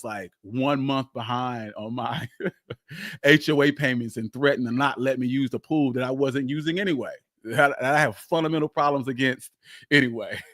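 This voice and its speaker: A man's voice: medium pitch, a bit bright, no room sound, clean studio sound. A man hosting a live history stream, talking casually and emphatically as he answers questions, often repeating phrases for weight.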